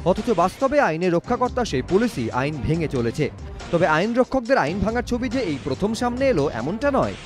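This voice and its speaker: Male news reporter, lively swooping intonation, emphatic scripted read, music behind. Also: sits high, a little fast. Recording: music bed under voice. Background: background music.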